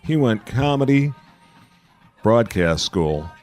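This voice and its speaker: A man's voice: medium pitch, very animated, takes it slowly, darkish tone, soft background music. A middle-aged man hosting a podcast, chatting casually over a meal at a burger bar and telling stories with a dry, joking manner. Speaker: podcast host.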